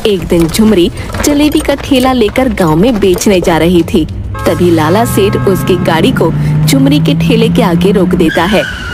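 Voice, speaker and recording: A woman narrating an animated moral tale as scripted storytelling. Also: medium pitch. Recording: music behind the voice.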